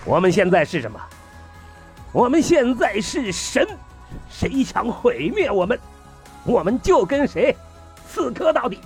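A man playing a fiery station director in an audio drama, delivering a grandiose, declamatory political speech in a husky, strained voice. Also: very high voice, big pitch swings, unhurried, pauses often, soft background music, heavily acted. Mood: defiant.

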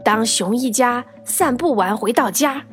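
A woman narrating a children's story as a scripted storyteller, with animated delivery. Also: fairly high pitch, a little slow. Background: background music.

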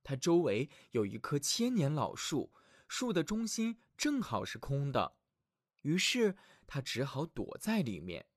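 Male storyteller, fairy-tale narration for kids, expressive, very varied intonation, close dry mic. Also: a little high, measured pace, no room sound. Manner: expressive, addresses child listener affectionately. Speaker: children's storyteller, host. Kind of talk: scripted fairy-tale narration for small children.

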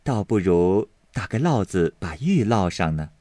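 A composed young lady weighs each choice in slow, deliberate words, voiced by a man. The sound is dry and close to the mic. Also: sits low, sing-song, warm tone, no echo, heavily acted. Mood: measured.

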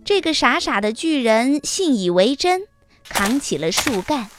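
A woman narrating a scripted fairy tale for children as the host, with expressive, deliberate storytelling. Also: high-pitched, music in the background.

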